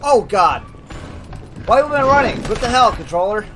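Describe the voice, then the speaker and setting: A man's voice: high voice, sing-song, moderate pace, noisy background. A man giving casual, informal gameplay commentary as a let's play host, thinking aloud and reacting as he goes.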